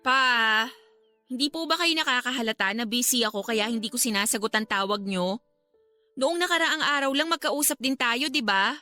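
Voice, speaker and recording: A high-pitched young Filipino daughter in a radio melodrama. Her voice is bright and full of feeling, with light music playing behind it. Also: normal speed. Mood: irritated. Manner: emotional, cutting, polite address to parents. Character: hurt, angry student daughter confronting her cheating father. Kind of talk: Filipino radio drama acting.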